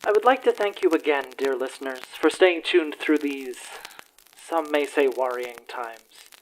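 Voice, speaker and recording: An actor plays an earnest evening news anchor in a radio drama. The phrasing is formal and theatrical, delivered in measured announcer fashion at a medium pitch. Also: a little hiss or hum, close to an everyday voice, low-quality recording. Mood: solemn, reassuring.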